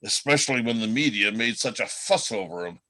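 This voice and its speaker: A man's voice: on the low side, expressive, fairly quick, sharp tone, poor audio. A man reading the part of a wry, world-weary old reindeer telling stories in a radio play. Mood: wry, reminiscing.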